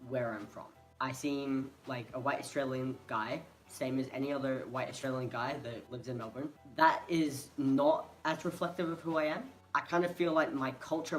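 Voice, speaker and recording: A young man from Hong Kong talks to his phone camera in a loose, rambling vlog, often stopping and starting over as he searches for words. His pitch sits in a middle range. Faint music plays in the background. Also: low-quality recording. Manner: hesitant, rambling, restarts often. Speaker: vlogger.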